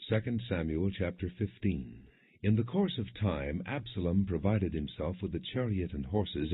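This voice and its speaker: A man narrating scripture as an audiobook, reading in a measured, formal storytelling manner. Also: low voice, slightly rough. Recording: muffled lo-fi, phone-like band.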